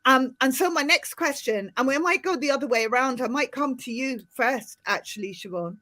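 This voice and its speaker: A middle-aged Irish woman with a fairly high voice hosts a relaxed panel conversation over a video call. Her accent has softened over the years, and she talks in a chatty, animated way, often restarting her sentences. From Ireland. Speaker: host. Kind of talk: casual panel chat.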